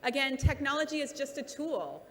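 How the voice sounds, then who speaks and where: A woman keynote speaker answering audience questions in a measured, encouraging way at a conference. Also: sits high, sing-song. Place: large conference hall. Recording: reverberant hall, faint noise.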